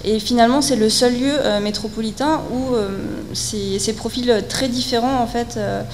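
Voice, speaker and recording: A woman's voice: a little high, steady tone. Woman presenting in an echoey, noisy hall. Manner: hesitant, halting delivery with frequent fillers and repeated words. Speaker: researcher and consultant speaking at a conference. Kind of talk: semi-spontaneous technical conference presentation. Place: indoor conference hall at a technical event. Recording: echoey hall, clear background noise.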